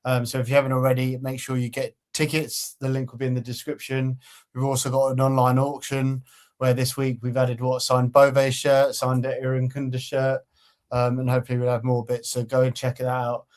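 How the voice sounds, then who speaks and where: Laid-back man co-hosting a fan podcast, steady and low-key. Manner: easygoing, fills pauses with um. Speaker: co-host of a football fan channel. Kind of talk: casual podcast chat.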